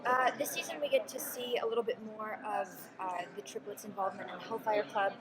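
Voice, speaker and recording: Woman explaining her character calmly w some ums, super loud crowd behind. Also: medium pitch, fast, low-quality recording. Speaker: actress interviewed about her series. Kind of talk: casual press-junket chat. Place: busy convention floor.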